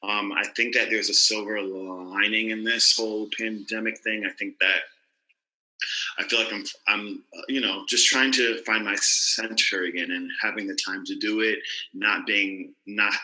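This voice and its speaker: Man, low and bright voice, thoughtful, halting, teacher co-host, roomy video call sound. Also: steady tone. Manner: thoughtful, halting, restarts phrases. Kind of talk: casual conversation.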